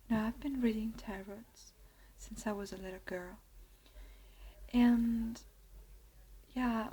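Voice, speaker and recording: A young woman with an Italian accent, Italian her first language, whispering softly and hesitantly in an ASMR video. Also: medium pitch, slightly rough. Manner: soft whisper, hesitant with many fillers. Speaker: ASMR creator.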